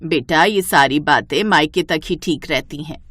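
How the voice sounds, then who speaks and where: A woman's voice: deep voice, a little slow, a bit bright, no room sound, voice pushed down. A woman voicing a bossy, breezy older mother-in-law in a storybook tale, with a matronly, admonishing manner. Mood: scolding. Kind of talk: animated Hindi storybook dialogue.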